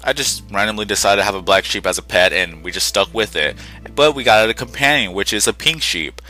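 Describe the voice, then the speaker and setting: A man's voice: on the low side, fairly quick, pushed and bright, faint music behind. A young man hosting a casual gaming channel update, rambling and correcting himself as he goes, a little sick, congested and short on sleep. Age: young adult. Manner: rambling, self-correcting, conversational.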